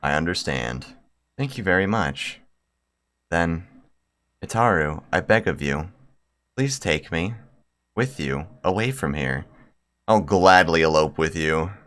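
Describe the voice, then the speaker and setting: Man reading as a lovelorn teenage girl, low and slow. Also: lively intonation, a bit bright, full character voice. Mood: earnest pleading. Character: lovelorn, formal high-school girl, quietly devoted and tragic. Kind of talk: visual novel dialogue read aloud.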